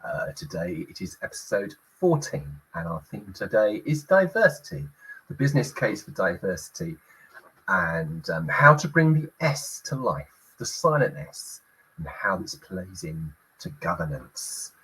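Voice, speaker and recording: Husky-voiced man hosting a talk show. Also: sits low, big pitch swings, a little slow, fairly bright tone, poor audio. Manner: hesitant, many fillers, reads out comments. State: croaky, recovering from illness. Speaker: host.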